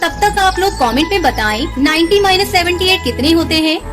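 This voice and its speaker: Very high-voiced woman narrating children's stories. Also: lively intonation, fairly quick, over music. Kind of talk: scripted children's story narration.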